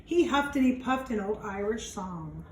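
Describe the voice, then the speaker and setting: A woman teacher reading a children's storybook aloud, pausing to explain things simply to young listeners. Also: mid-range voice, expressive, a little mellow. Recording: roomy, distant mic, faint background noise.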